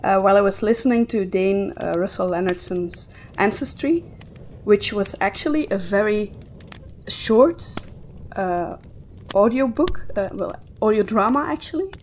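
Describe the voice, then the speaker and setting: A woman's voice: mid-range voice, expressive, measured pace. A woman co-hosting a podcast, talking casually and conversationally. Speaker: podcast co-host. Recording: clear background noise.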